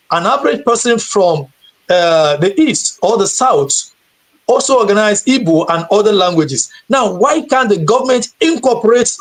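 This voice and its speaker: A man from Nigeria, a guide and teacher, answering questions earnestly on a video call, often repeating words as he searches for them. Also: high-pitched. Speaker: guest tour guide and teacher. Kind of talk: live stream interview answer.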